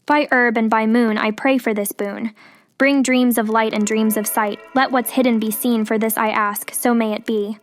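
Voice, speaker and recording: A woman's voice: on the high side, fairly even intonation, normal speed, natural voice. A woman voicing a kind young potion-making witch in a storybook reading, friendly and lightly teasing. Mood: chanting.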